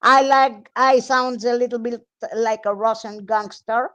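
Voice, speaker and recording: Greek woman host, higher voice, unhurried and halting, friendly stream chat. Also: steady tone, fairly bright tone. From Greece. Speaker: radio host. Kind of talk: live stream interview.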